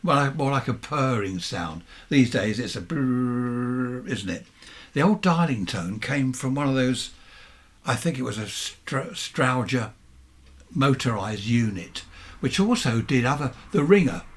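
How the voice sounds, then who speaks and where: A man in his seventies with a southern English accent and a husky voice, giving a chatty, rambling, unscripted show-and-tell as a hobbyist, often questioning himself. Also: medium pitch, very animated, a little slow. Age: 70s. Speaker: amateur radio hobbyist presenting vintage equipment.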